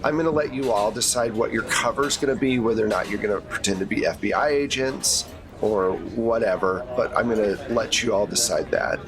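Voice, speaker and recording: A man's voice: lowish voice, fairly quick, crowd noise. A man acting as game master, giving a conversational, explanatory briefing in a tabletop roleplay.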